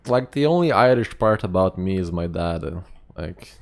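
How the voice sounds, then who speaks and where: A man's voice: sits low, lively intonation, normal speed, vocal fry. A young man from Lithuania with a Lithuanian accent, chatting casually and rambling with lots of fillers while streaming a game. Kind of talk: casual live-stream chat.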